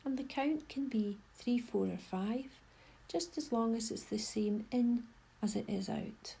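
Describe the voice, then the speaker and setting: Woman doing a guided meditation, breathy n husky, bit of vocal fry, gentle and slow to move on. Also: fairly high pitch. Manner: gentle, unhurried instruction with pauses. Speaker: mindfulness guide.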